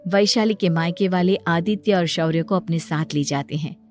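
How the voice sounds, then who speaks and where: A woman's voice: on the low side, moderate pace, darkish tone, soft background music. A woman narrating a scripted moral story for children as a storyteller.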